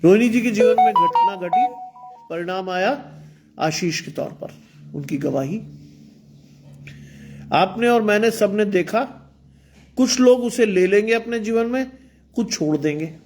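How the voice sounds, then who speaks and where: A man's voice: high-pitched, animated, unhurried, long pauses, darkish tone, slight background noise. A man preaching an online sermon in with some English, earnest and exhorting, speaking with a sore throat. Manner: earnest, exhorting, repeats phrases. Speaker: pastor.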